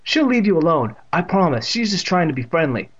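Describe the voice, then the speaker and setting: A man's voice: on the high side, very animated, a little fast, slightly rough, pitched above the performer's own voice. Man acting a strained, coaxing mother. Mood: firm, placating. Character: strained, snappish mother coaxing her young son. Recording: dull lo-fi audio.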